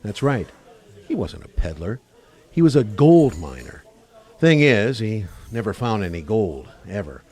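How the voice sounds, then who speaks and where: A man's voice: on the low side, dark tone, breathy edge. A man playing a tough, unyielding homicide cop with a wry streak in a radio play, blunt and authoritative.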